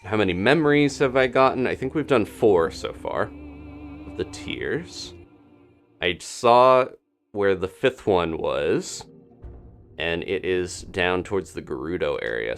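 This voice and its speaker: A man's voice: low-pitched, big pitch swings, a little slow, soft background music. A man doing live gameplay commentary as a streamer, chatting casually and thinking aloud in a rambling way. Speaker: video game streamer.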